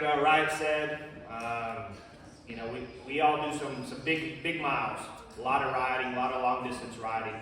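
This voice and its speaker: A man in a loose, halting way thanking students at a school presentation. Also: medium pitch, fairly bright tone, slight background noise. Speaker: charity biker speaking to students. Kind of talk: casual address to a school. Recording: echoey hall from far off.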